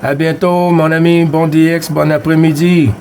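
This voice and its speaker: A man from Quebec with a Québécois French accent, chatting casually with another operator over CB radio. He is friendly and unhurried, and reads out call signs and signal reports. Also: a little high, flat delivery, heavy background noise. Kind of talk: casual radio contact chat.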